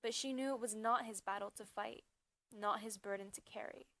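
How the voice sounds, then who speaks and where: A woman reciting slam poetry as a spoken-word poet, with a dramatic, emphatic delivery in short measured phrases. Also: fairly high pitch, steady tone, a bit bright, no echo.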